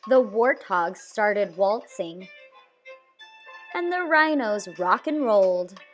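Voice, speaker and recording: A woman narrating a children's storybook aloud in an expressive, deliberate storytelling manner. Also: medium pitch. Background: soft music.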